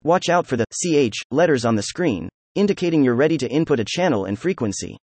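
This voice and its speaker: A computer-generated male voice reads a how-to guide aloud in a steady instructional way. His pitch moves around a lot, and the recording is dry, with no room sound. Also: fast, no room sound. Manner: even instructional delivery with lively pitch. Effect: synthetic text-to-speech voice. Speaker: narrator. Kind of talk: scripted article reading.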